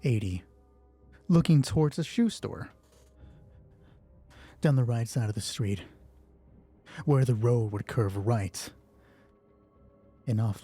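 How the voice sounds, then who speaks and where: Man, husky, mellow, solo game master, improvised narration, self-correcting, faint music behind. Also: mid-range voice. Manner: self-correcting, thinking aloud.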